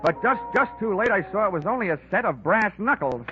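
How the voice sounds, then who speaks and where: A man's voice: high voice, big pitch swings, a little fast, loud music behind the voice. An actor playing a sardonic, wisecracking male private investigator, narrating in 1940s radio style. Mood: wry. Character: wry, hard-boiled male private investigator. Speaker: lead character narrating. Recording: narrow-band old radio recording.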